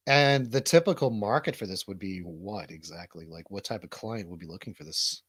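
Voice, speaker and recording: A man's voice: low-pitched, normal speed, fairly bright tone, husky, no echo, clean studio sound. A man hosting a talk stream, casually chatting and asking questions. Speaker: livestream show host. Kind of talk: casual chat, interviewing. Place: home studio, seated on a couch.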